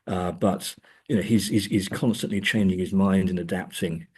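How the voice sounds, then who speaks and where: A man's voice: deep voice, steady tone, normal speed, darkish tone, husky. A man speaking as an academic guest in a discursive interview, hesitant and often repeating words as he thinks.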